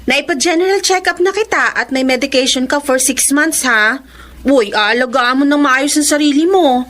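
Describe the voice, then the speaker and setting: A woman's voice: high voice, moderate pace, fairly bright tone. An actress playing a bossy but caring 25-year-old businesswoman in a Filipino radio-style drama, speaking emphatically with a Filipino accent. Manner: emphatic, scolding or boasting, mixes English into Tagalog.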